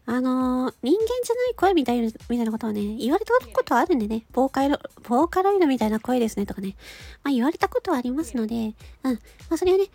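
A woman with a nasal, stuffy, cute anime-like voice chats casually and politely to listeners in short, halting phrases. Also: high-pitched, very animated, gravelly. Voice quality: cute, cartoon-like voice. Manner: polite, giggly, halting phrases ending in a soft filler. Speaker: amateur voice actor hosting a personal audio channel.

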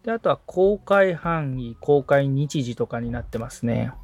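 Gravelly-voiced, agreeable man following along. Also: medium pitch, animated, unhurried, a little mellow, faint music behind. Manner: agreeable, reads out each step. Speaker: assistant co-host following instructions. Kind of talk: casual conversational walkthrough.

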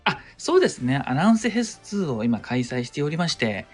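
A man's voice: a little high, sing-song, fairly quick, soft background music. A man chatting casually as a guest on a live stream in a polite, humble way.

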